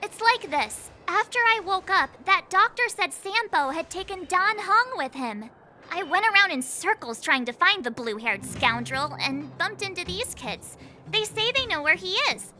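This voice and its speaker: A woman voicing a playful, easily flustered young girl in a video game, perky, chatty and expressive. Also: extremely high pitch, normal speed, slight background noise, full character voice. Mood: flustered, explaining. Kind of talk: animated video game voice acting.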